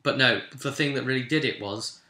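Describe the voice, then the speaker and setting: A man's voice: medium pitch, a little fast, bright, forceful tone. A man, a game master, recounting a tabletop roleplay session in a rambling, emphatic, casual storytelling way and acting out the odd remark. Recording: echoey, reverberant room.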